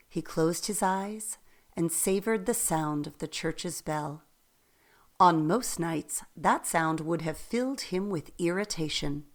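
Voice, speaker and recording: A woman narrating a radio play in an expressive, deliberate storytelling manner, with faint noise in the background. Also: lowish voice.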